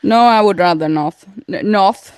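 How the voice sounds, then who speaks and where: A woman's voice: mid-range voice, moderate pace, a little mellow, clear, resonant tone, no room sound. A woman co-hosting a podcast in casual chat, informal and a little hesitant, often murmuring agreement. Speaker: podcast co-host.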